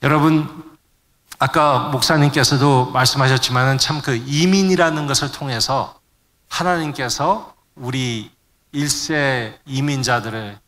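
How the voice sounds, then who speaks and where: A man's voice: mid-range voice, measured pace, fairly bright tone. A middle-aged man giving a sermon to a congregation, searching for words now and then and mixing in English terms. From Korean immigrant family in the United States. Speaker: preacher. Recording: long hall reverb.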